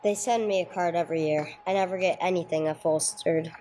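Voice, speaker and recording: A woman's voice: on the low side, steady tone, fairly quick, mellow, a little hiss or hum, lightly acted. A woman playing a dry, businesslike police detective sergeant in a radio drama, with terse, matter-of-fact delivery. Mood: dry, skeptical. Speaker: narrator and lead actor. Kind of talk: old-time radio police drama, scripted narration.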